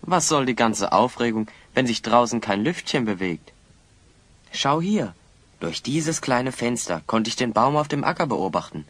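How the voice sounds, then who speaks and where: A confident young fantasy hero, mid-pitched with a rough, husky edge. His delivery is brisk and animated, in audio drama style. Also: no echo. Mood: reassuring. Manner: brisk, urgent, teasing. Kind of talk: German audio play acting.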